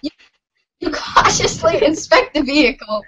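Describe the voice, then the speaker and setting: A woman's voice: high-pitched, expressive, moderate pace, noisy background. A woman reading scripted narration for an amateur reader's theater, playful and mock-serious. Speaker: narrator, regular of the show. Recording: muffled lo-fi home recording.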